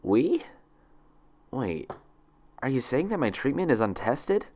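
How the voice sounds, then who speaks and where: A man's voice: medium pitch, very animated, slow, a little mellow, pitched above the performer's own voice. A man voicing a young adult female pony patient in an audiobook story, urgent and questioning in manner. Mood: hesitant, suspicious. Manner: urgent, hesitant, insistent.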